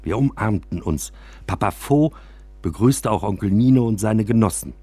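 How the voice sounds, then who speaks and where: A man narrates a first-person literary story for radio. His voice sits fairly low and has a husky grain. He tells it expressively, in a close, dry studio sound. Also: lively intonation, normal speed, warm tone, no echo.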